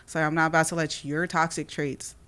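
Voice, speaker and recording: A woman's voice: low-pitched, little pitch movement, fairly quick, fairly bright tone. A woman in her late twenties, a guest poet, chatting casually and reflectively, often asking "you know what I mean". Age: late 20s. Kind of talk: casual podcast chat.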